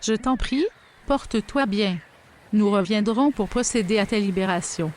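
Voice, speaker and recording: A woman's voice: mid-range voice, moderate pace, darkish tone, a little hiss or hum, lightly acted. A woman playing a kindly, advising overseer of a young captive in an audio drama, reassuring and instructive.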